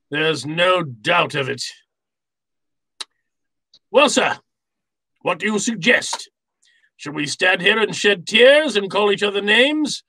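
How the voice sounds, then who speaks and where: A genteel, scheming fat mastermind for a radio play. The voice is creaky and forceful, and he unrolls florid courtesies at an unhurried pace. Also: high voice, no room sound, heavily acted. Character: fat, genteel, wily middle-aged criminal mastermind. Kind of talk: old-time radio drama acting.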